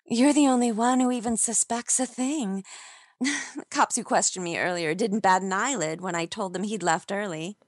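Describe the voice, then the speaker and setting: A woman's voice: mid-range voice, normal speed, no room sound, studio quality, natural voice. An actress playing a seductive, scheming showgirl in a noir radio play, with a coquettish way of talking. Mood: seductive, conniving. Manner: sultry, coquettish.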